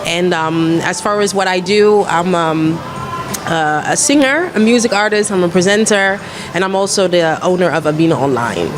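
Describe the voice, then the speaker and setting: A young woman from the Netherlands giving measured, explanatory answers as a guest in a casual discussion. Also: on the low side. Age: young adult. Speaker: guest, singer and presenter. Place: glass-fronted cafe, indoors at a table. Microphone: shared handheld interview microphone. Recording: clear background noise of busy venue.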